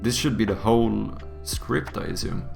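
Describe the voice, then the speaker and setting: Low gravelly man. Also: normal speed, faint music behind. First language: Swedish. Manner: chatty, reads code notes aloud, jokes with chat, gets excitable. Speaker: streamer. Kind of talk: casual livestream chat while coding.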